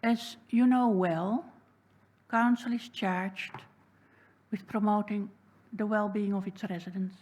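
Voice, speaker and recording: A woman with a Dutch accent making a prepared public submission at a council hearing, speaking in a measured, deliberate and earnest way. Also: mid-range voice, steady tone, a little mellow. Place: council chamber.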